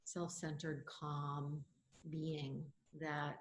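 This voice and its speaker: A woman's voice: low-pitched, flat delivery, drawn-out words, a little hiss or hum, low-quality recording. A middle-aged woman co-hosting a podcast, musing thoughtfully in casual chat and laughing often. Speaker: podcast co-host.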